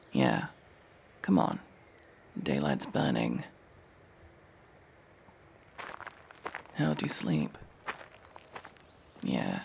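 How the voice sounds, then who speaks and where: A woman playing a gruff, guarded, world-weary elf in a fantasy ASMR roleplay, curt and bossy with hushed delivery. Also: rumbling low, heavily acted. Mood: brisk, teasing. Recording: faint background noise with ambience.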